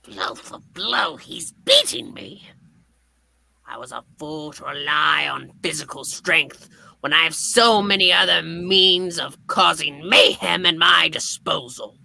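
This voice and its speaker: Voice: medium pitch, lively intonation, slow pace, gravelly, sharp tone, no room sound, full character voice, rough recording. Theatrical, swooping hobgoblin supervillain, male. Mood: scheming. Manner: theatrical, grandiose. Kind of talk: live comic book reading, cartoon villain acting.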